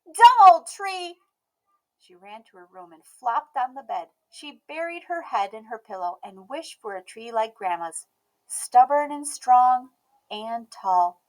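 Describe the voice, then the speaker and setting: A woman reading a children's picture book aloud as an expressive narrator. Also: fairly high pitch, unhurried, rough recording. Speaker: storybook read-aloud host.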